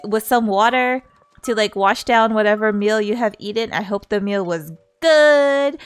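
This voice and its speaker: A woman's voice: mid-range voice, fairly bright tone, clean tone. A woman livestreamer chatting casually in a playful, giggly, rambling way. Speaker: streamer.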